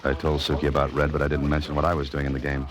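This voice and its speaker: A man's voice: a bass voice, completely flat delivery, very fast, a crowd in the background. A man with an American accent acting a wary, probing investigator who narrates a 1940s-style radio mystery. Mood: brooding, watchful. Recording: old radio broadcast with music behind.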